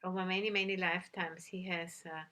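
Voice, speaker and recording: A woman teaching a guided meditation, giving gentle instructions with long pauses between phrases. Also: on the low side, level tone.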